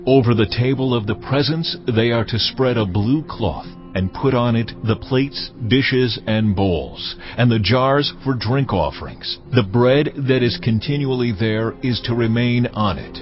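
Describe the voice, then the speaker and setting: A man playing God in a dramatized scripture reading, giving solemn commands in a measured, authoritative, formal way. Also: mid-range voice, natural voice. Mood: solemn, commanding. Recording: slightly muffled, music underneath.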